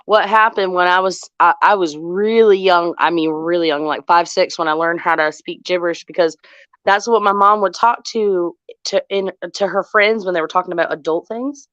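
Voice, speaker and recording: A woman's voice: on the low side, normal speed, a bit bright, no echo, highs cut off. A woman in her thirties from the Boston area, raised in the South, with a mild Southern accent, chatting casually and laughing often as a podcast host. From Boston area, raised in Tennessee. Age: 30s. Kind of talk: casual group chat over the internet.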